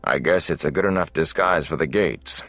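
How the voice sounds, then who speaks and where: A man voicing an old, gruff, world-weary travelling minstrel for a fantasy audiobook, with a deep gravelly voice. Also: normal speed, fairly bright tone, no room sound, soft background music. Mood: brisk, gruff. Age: older.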